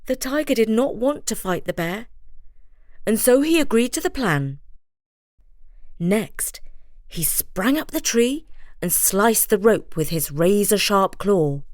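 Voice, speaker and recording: Slow, expressive female bedtime storyteller. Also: medium pitch, no echo, studio quality. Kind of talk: expressive scripted children's bedtime narration.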